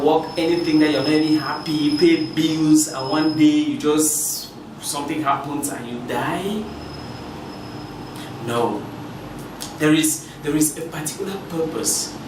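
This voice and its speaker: A man from Cameroon giving a preachy motivational talk to his viewers, with many repeated words and restarts. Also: mid-range voice. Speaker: motivational speaker. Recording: echoey room from a distance with noise.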